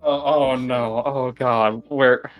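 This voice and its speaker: A man's voice: medium pitch, steady tone, takes it slowly, music in the background. A man chatting casually as a judge on a group livestream, giggly and a bit hesitant. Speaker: guest judge on a fanfiction contest stream.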